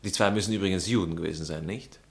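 A man's voice: deep voice, quick pace, gravelly. A man playing a snobbish, narrow-minded baron in a satirical comedy, speaking with an Austrian accent and a condescending, colloquial drawl. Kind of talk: satirical stage comedy acting.

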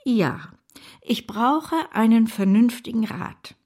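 An actress in a radio play voicing a brusque, eccentric older aunt, emphatic and commanding with a creaky edge. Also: female voice, on the high side, slow, a little mellow. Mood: brisk, decided.

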